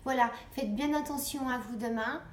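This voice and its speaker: High-voiced woman in an echoey room. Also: normal speed. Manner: earnest, exhorting, repeats phrases for emphasis. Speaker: online video host. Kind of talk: informal political video address to viewers. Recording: echoey room, clear background noise.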